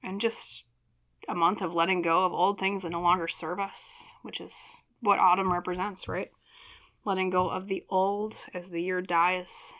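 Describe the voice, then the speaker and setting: Low-pitched woman giving a casual tarot reading. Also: fairly bright tone. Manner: rambling, reflective, addresses viewers directly. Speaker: tarot reader and channel host.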